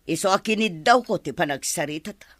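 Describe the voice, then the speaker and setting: Woman acting as stern, bossy mother, sharp voice. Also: lowish voice, expressive, brisk, no echo. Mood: stern insistence. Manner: commanding, scolding. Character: stern bossy mother and household madam opposing daughter's romance. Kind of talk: Ilocano radio drama acting.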